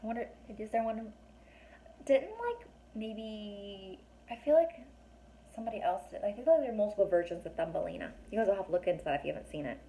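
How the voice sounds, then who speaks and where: A woman reading a children's story aloud, pausing to ask young listeners questions and explain things in a chatty way. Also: mid-range voice, expressive, dark tone, slight background noise, rough recording. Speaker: teacher or reader reading a children's book aloud.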